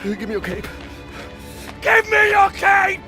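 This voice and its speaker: An actor playing an arrogant, spoiled Roman general, the Emperor's petulant son, in a cinematic game. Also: male voice, very high-pitched, very animated, slow pace, heavily acted. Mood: furious, commanding. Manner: imperious, petulant, theatrical. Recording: loud music behind the voice.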